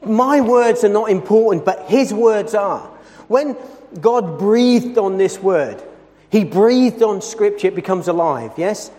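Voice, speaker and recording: A man preaching a sermon in a church, with a loud voice and an earnest, emphatic delivery that slows down over scripture. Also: high-pitched, lively intonation. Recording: long hall reverb.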